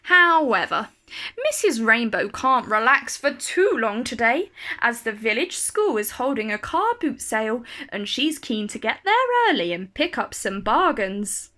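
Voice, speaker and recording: Bright, animated woman reading a storybook. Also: fairly high pitch, a little slow. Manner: animated, carefully phrased. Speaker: storyteller reading a children's picture book. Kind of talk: expressive read-aloud storytelling for children.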